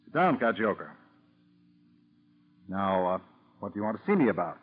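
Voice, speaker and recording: A man's voice: lowish voice, very animated, normal speed, narrow, tinny audio. A man playing an authoritative FBI bureau chief with an American accent in a 1940s radio drama. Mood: cool, guarded. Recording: thin, noisy, clicking old radio recording.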